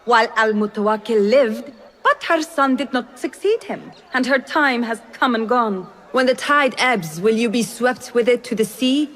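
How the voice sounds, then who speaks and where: A woman's voice: fairly high pitch, moderate pace, fairly bright tone, lightly acted. Woman as a scheming courtly lady, reverberant hall. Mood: cool, insinuating. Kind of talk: cinematic video game acting. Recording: long reverberant hall echo.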